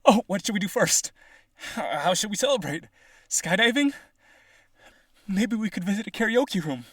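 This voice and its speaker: A man's voice: sits high, animated, moderate pace, fairly bright tone, husky, no echo, clean studio sound, heavily acted. A man playing a rich, devoted vampire aristocrat in a roleplay audio, earnest and pleading, with gushing, hesitant speech. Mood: giddy, excited.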